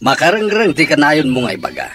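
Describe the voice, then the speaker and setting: A stern middle-aged Filipino rancher father in a radio drama. He scolds gruffly with animated swings in pitch, over faint birdsong. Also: quick pace, a little hiss or hum. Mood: stern, annoyed. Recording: birdsong ambience under speech. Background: chirping birds.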